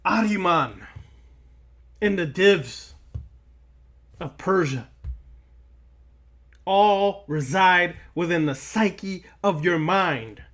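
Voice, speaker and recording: A man's voice: sits high, extremely slow, long pauses, fairly bright tone. A man giving a spiritual lecture straight to his listeners, emphatic and repeating phrases for weight. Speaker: occult lecturer.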